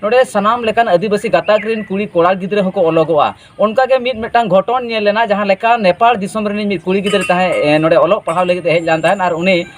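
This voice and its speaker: A man presenting regional news in an informal commentary style, with halting, hesitant delivery. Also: high-pitched, a little hiss or hum.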